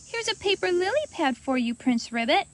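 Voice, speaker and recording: Woman acting out an excitable, high-voiced little girl. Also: moderate pace. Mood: kind, eager. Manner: exclaiming, sweetly polite. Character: excitable cheerful young girl in a storybook.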